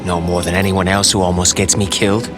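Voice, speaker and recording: Man playing a low-voiced cocky wisecracking mercenary. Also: flat delivery, brisk, music in the background, lightly acted. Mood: dry irritation. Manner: sarcastic, flippant, irreverent. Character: cocky wisecracking foul-mouthed male mercenary warrior. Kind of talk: video game action-comedy acting.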